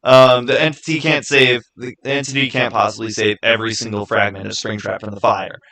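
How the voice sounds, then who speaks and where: A man hosts a casual livestream in a mid-pitched voice. He talks off the cuff and somewhat haltingly, often turning to his viewers with rhetorical questions. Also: little pitch movement, bright, forceful tone. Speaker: livestream host.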